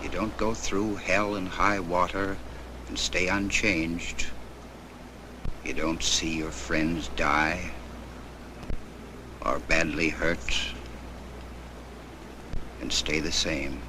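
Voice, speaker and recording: Deep, slow, calm 1940s American commentator. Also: male voice. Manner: calm, plain-spoken, measured. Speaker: war correspondent. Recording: old 1940s film soundtrack, faint noise.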